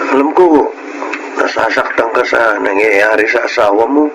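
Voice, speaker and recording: An actor with a Filipino accent playing a gentle, concerned young brother-in-law, hesitant and respectful, in a radio melodrama. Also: male voice, medium pitch, normal speed, heavy background noise, natural voice. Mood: concerned, resigned.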